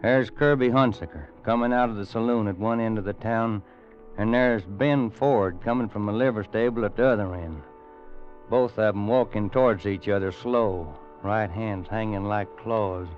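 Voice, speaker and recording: A man's voice: on the low side, moderate pace, soft background music, natural voice. A man playing a drawling old frontier rancher. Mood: grim. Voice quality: drawling, folksy, grammar-bending. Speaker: narrator. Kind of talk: 1940s radio drama. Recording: muffled vintage radio recording.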